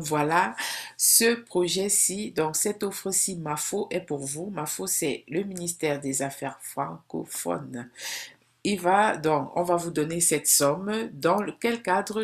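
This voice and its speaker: A woman talking straight to her viewers in a casual explainer video, walking through each point step by step. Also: deep voice. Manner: chatty, explains step by step, addresses viewers directly. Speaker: video creator.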